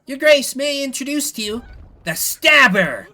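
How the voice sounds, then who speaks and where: High, bright young princess. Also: male voice, very animated, normal speed, exaggerated voice. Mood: proud, excited. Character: excitable young princess showing off to her father.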